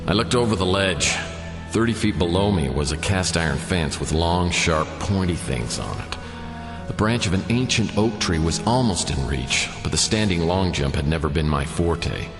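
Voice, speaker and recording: Very deep, wry hardboiled detective. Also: male voice, normal speed, big-room sound. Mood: wry, brooding. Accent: American. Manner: deadpan, self-mocking. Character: wry, down-on-his-luck hardboiled male private detective. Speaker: lead character and narrator. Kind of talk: noir radio drama narration and dialogue. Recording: studio radio drama over music. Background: prominent music.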